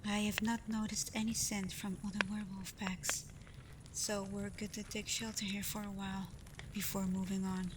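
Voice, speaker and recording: A woman voicing a caring alpha werewolf in a soft, breathy ASMR roleplay, gentle and reassuring, confident but privately unsure. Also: mid-range voice, lightly acted. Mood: calm, reassuring. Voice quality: soft, breathy, often near a whisper. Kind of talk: ASMR roleplay, soft intimate talk to the listener. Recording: clear steady ambient noise.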